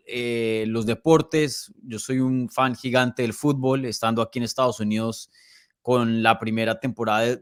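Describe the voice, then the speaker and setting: Smooth-voiced Colombian man in his thirties. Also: mid-range voice, fairly bright tone, no echo, clean studio sound. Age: early 30s. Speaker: podcast guest, MMA journalist. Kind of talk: casual interview conversation.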